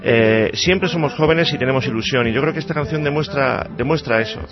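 A man's voice: mid-range voice, a little fast, lo-fi sound, music in the background. Earnest man in his forties. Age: 40s. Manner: earnest, hesitant with many restarts. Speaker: politician guest. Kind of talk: radio interview.

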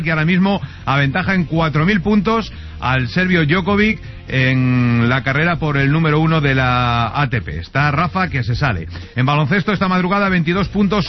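A man from Spain hosting a radio sports show, running through the news with wry asides. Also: a little high. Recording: dull radio sound with music under.

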